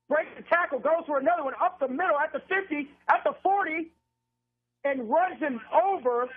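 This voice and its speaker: An American man with a husky voice doing live football play-by-play as a radio announcer, over a narrow, tinny phone-line feed. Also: very high voice. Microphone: live radio broadcast feed from stadium.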